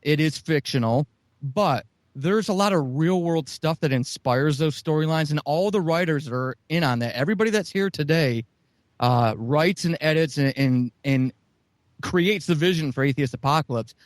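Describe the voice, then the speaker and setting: A man's voice: fairly high pitch, moderate pace, dry recording. A middle-aged man on a podcast, talking casually about his comedy audio drama, with many restarts and swearing. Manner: rambling with restarts, profane.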